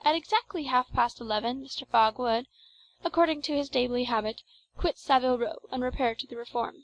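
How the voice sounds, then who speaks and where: A woman narrates an audiobook of classic fiction. Her voice sits somewhat high, and her delivery is measured and formal, as scripted storytelling. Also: rough recording.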